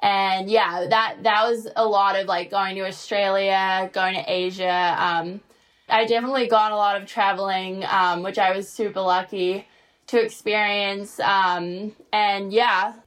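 A woman's voice: medium pitch, a little slow, rough recording. A young woman, answering interview questions casually with many fillers. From New Zealand. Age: 20s. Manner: relaxed, many fillers and likes. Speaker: college tennis player guest.

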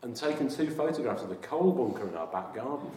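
A man's voice: mid-range voice, animated, fast, mellow. A man in his forties from Yorkshire, chatting as a guest with dry, self-mocking humour and frequent laughs. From Yorkshire, England. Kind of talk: casual chat. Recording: echoey room, mic at a distance, faint background noise.

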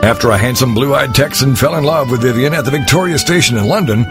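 A man's voice: mid-range voice, fast talker. A man reading scripted radio promos with polished announcer delivery. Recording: promo over loud music.

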